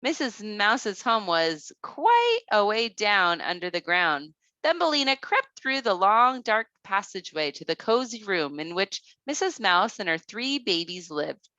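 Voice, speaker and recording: Animated, bright-voiced woman reading a children's story. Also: mid-range voice, measured pace, few pauses, no echo, dull audio. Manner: expressive, careful read-aloud.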